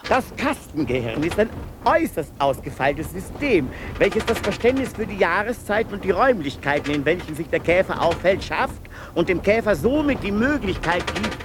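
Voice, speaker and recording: A man's voice: big pitch swings, normal speed, noisy background. An actor playing a male asylum patient who lectures obsessively on beetles, in a pedantic, sing-song manner, in a 1990s radio play. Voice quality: pedantic, lecturing, with sudden pitch swings. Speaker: patient narrator.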